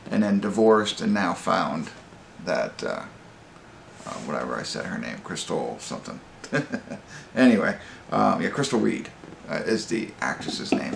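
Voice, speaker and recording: Man, movie review host, gravelly fry, casual and rambling, halting delivery, roomy sound with faint background noise. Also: on the low side, a bit bright.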